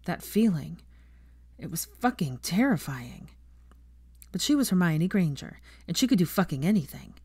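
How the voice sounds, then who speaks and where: A woman's voice: on the low side, very animated, normal speed, darkish tone, breathy edge, no room sound. A woman reading scripted fiction as an audiobook narrator.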